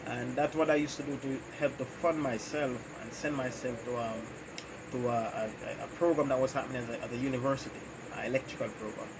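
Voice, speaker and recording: A man with a Jamaican accent, an electrician who hosts his own channel, answering interview questions about his life in a casual, unhurried way with frequent ums and you-knows. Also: mid-range voice, fairly even intonation. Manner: unhurried, pauses often with "um" and "you know". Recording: noisy background.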